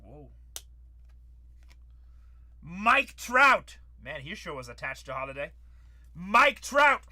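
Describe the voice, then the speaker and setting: A man's voice: high voice, big pitch swings, takes it slowly, pushed and bright. A man in his forties hosting a live card-break stream, calling out cards and bantering with chat. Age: 40s.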